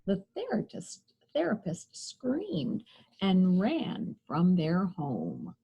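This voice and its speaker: A woman reading a picture book aloud to children as a storyteller, with expressive, deliberate phrasing. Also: fairly low pitch, vocal fry, husky, muffled recording.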